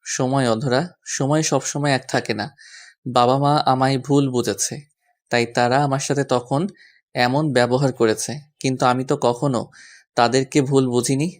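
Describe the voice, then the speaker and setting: A man's voice: medium pitch, fairly even intonation, unhurried, close to an everyday voice. A man playing a devoted, earnest young husband in a romantic audio drama. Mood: calm, sincere.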